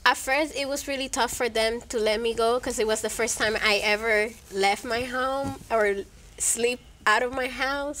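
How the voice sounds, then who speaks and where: Earnest woman student, higher voice. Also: a bit bright. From Puerto Rico. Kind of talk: interview answers.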